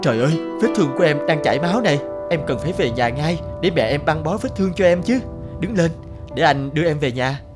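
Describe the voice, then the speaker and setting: A man voicing a resentful, lonely young spirit of night in an animated fairy tale, brusque and emphatic. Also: on the high side, close to an everyday voice. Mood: concerned. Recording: prominent music under the voice.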